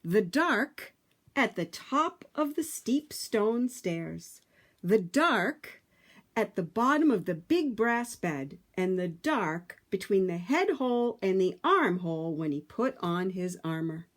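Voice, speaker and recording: A woman reading a picture book aloud to children, with dramatic, emphatic phrasing and drawn-out words. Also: medium pitch, very animated.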